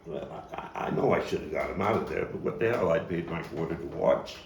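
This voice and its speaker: A man performing a theatrical monologue as a coarse, wry, streetwise Brooklyn man looking back on his boyhood gang, telling it with dramatic pauses and emphatic swells. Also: deep voice, animated, creaky, pitched below the performer's own voice. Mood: wry, nostalgic. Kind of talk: theatrical monologue, table read. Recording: roomy, distant mic, faint noise.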